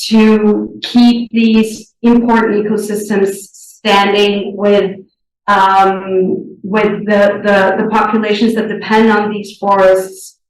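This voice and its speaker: Soft-spoken woman, echoey and distant. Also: mid-range voice, a little hiss or hum. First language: Portuguese. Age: young adult. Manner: deliberate, often pausing to search for words. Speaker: interviewee, board candidate. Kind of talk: interview answers. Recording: echoey room, distant mic.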